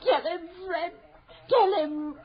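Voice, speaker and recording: A woman's voice: very high-pitched, slow pace. A woman playing a desperate, grieving middle-aged mother in an old-time radio horror drama, pleading and quavering. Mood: tearful pleading. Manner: quavering, pleading, melodramatic delivery. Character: grieving, desperate mother, frantic with wishful hope. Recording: dull lo-fi radio drama recording.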